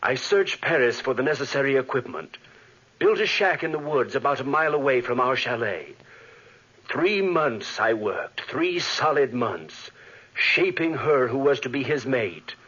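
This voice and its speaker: A tormented male scientist, in melodramatic 1940s radio style. The voice is rough-edged and forceful, with big swings in pitch. It comes through a dull old broadcast recording. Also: lively intonation, measured pace. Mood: grim, haunted. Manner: melodramatic, anguished. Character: tormented, guilt-ridden male scientist who created a monster. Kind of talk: 1940s radio acting with dramatic narration.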